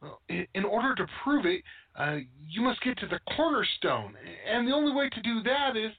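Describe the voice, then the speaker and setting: Woman playing a flustered young scholar, raspy, tinny recording. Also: a little high, sing-song. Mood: flustered explaining. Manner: halting, stammering, indignant. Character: Peter, flustered young male academic. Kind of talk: amateur radio play acting. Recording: tinny phone-like sound.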